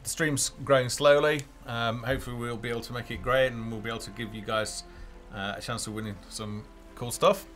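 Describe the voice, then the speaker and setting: A man's voice: medium pitch, fairly even intonation, brisk, hardly any pauses, a bit bright. A man hosting a casual game developer live stream, talking off the cuff in a hesitant, rambling way as he thinks aloud. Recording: music playing behind the voice.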